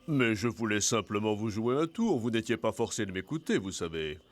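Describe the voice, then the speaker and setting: A man's voice: fairly low pitch, very animated, brisk. A man voicing a sly trickster owl in a cartoon, with an exaggerated, wheedling manner. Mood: sheepish, sly. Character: sly male owl trickster, feigning offended honesty. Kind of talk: French cartoon character acting.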